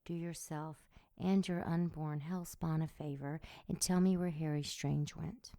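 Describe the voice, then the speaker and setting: A woman playing a sardonic, seductive succubus demon in an audio drama, sultry and mocking in a deliberate way. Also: sits low, fairly even intonation, dark tone. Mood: cool menace.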